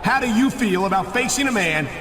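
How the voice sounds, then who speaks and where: A man's voice: sits high, lively intonation, fairly quick. A man playing an outraged, righteous wrestling announcer, indignant and confrontational in his commentary and interviewing. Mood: challenging.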